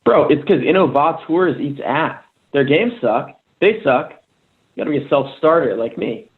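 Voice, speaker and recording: Man playing a cocky, higher-voiced young streamer. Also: animated, moderate pace, narrow, tinny audio. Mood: smug. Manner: slangy, boastful hype. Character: cocky young male slacker game streamer. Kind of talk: table read of a screenplay.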